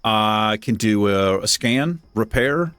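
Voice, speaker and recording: A man with a deep voice hosting a gaming channel, chatting casually and self-deprecatingly while he plays. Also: lively intonation, takes it slowly, a bit bright, clean tone, dry recording, faint music behind. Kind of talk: casual gameplay commentary. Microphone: desk microphone.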